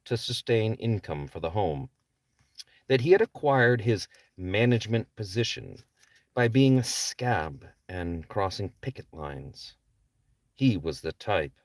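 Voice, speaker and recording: A man's voice: on the low side, very animated, measured pace, dry recording. A man reading his own novel aloud as a scripted audiobook narration. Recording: distant microphone.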